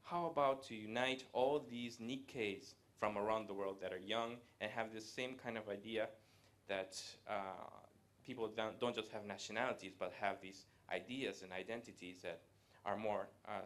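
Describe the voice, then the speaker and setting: A man, giving a live presentation to an audience in a conversational way, pausing often to hesitate. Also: on the low side, steady tone, a bit bright. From Latin America. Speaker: guest speaker. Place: event room with a live audience.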